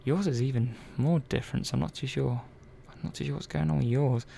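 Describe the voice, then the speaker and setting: A man playing an earnest, hesitant robot repair technician in a soft-spoken ASMR roleplay, halting and trailing off mid-sentence. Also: medium pitch, fairly even intonation, vocal fry, a little hiss or hum. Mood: musing.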